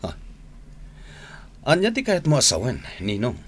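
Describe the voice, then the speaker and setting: A man's voice: mid-range voice, wildly swooping pitch, unhurried, slight background noise. An actor playing an earnest, troubled younger man in a radio drama, emphatic and with an Ilocano accent. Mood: insistent.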